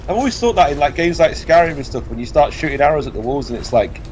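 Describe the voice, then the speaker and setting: A man's voice: fairly high pitch, lively intonation, quick pace, a little mellow, rough recording. British guy chatting over a game, sweary and opinionated, bit of game noise behind him. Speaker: let's play commentator. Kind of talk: casual banter over gameplay. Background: video game sound.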